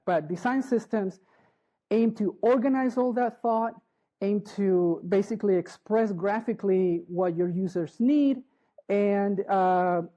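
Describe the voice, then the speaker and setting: A middle-aged woman from Chile, giving a live conference talk in a halting way, restarting often and checking in with the audience. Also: mid-range voice, mellow, lo-fi sound. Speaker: conference speaker. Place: conference hall.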